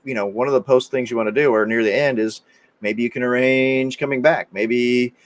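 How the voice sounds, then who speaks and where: A man co-hosting a podcast in casual conversation, agreeable and thoughtful, often chiming in with quick affirmations. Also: medium pitch, fairly even intonation. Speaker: podcast co-host.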